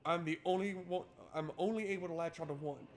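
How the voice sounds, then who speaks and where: Higher-voiced man hosting a gaming video. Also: fairly quick. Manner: informal, playful. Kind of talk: casual let's play commentary, reading game text aloud. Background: game music.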